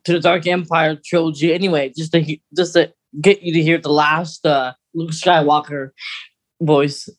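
A woman's voice: low-pitched, fairly even intonation, normal speed. A woman co-hosting a podcast, talking casually and in detail, with asides and qualifications along the way. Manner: conversational, hedging, adds asides and details. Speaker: podcast co-host. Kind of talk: casual, detailed fan discussion.

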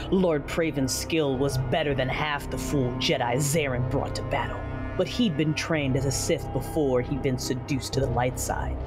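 A slow, menacing narrator over loud music. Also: mid-range voice. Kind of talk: first-person audio drama narration. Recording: loud music bed under the voice.

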